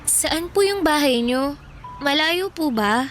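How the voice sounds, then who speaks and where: A woman's voice: sits high, lively intonation, slow, a bit bright, slight background noise, exaggerated voice. An actress playing a lonely, wary fourteen-year-old homeless orphan girl with a Filipino accent, polite and meek, in a radio drama. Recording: music bed under the voice.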